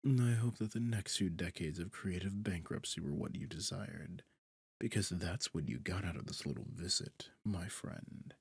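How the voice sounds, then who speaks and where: A man playing a smug, possessive demon deal-maker in an ASMR roleplay, husky and breathy, often near a whisper, theatrical and taunting. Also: low voice, animated, dry recording, full character voice. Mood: cold, taunting. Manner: theatrical, taunting, slow and deliberate. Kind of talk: ASMR roleplay audio drama.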